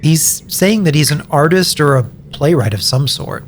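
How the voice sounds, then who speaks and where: A man's voice: a little high, animated, moderate pace, a little hiss or hum, lightly acted. A man playing a foul-mouthed, bewildered young captive with a dry, sardonic manner in an audio drama. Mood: wry, baffled.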